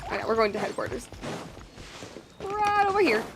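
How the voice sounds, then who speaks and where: A woman's voice: fairly high pitch, big pitch swings, moderate pace, noisy background. A woman game streamer giving casual playthrough commentary in a rambling, joking way, often repeating her phrases.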